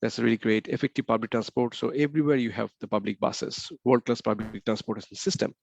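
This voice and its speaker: Man, webinar presenter, very fast delivery, gravelly, dry muffled call audio. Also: fairly low pitch, darkish tone, no echo. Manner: rapid, repeats key points, asks rhetorical questions. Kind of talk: slide presentation mixing English and Bengali. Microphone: computer mic on a video call.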